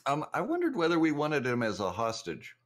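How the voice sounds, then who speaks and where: A man's voice: a little high, huge pitch swings, fairly quick, fairly bright tone. A man playing a tabletop game, talking hesitantly and a bit flustered. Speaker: player. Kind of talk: casual game chat.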